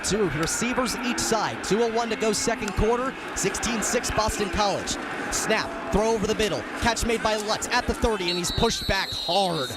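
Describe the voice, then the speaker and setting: A man doing radio play-by-play sports commentary in clipped running descriptions, ready to burst into excited calls. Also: sits high, very noisy.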